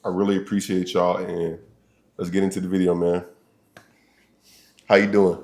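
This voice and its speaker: A young man with a very deep voice hosts a casual podcast from a college dorm. He talks in easygoing slang and breaks into laughter while telling stories. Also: little pitch movement, moderate pace, darkish tone. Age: young adult. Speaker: podcast host. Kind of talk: casual chat.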